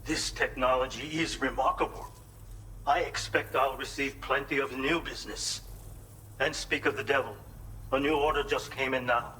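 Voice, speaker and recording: A man's voice: mid-range voice, steady tone, normal speed, pushed and bright, slight background noise, natural voice, low-quality recording. A man playing a courteous, businesslike shopkeeper at an outpost in a video game, speaking politely in short, formal sentences. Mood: courteous, pleased. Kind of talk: scripted video game dialogue.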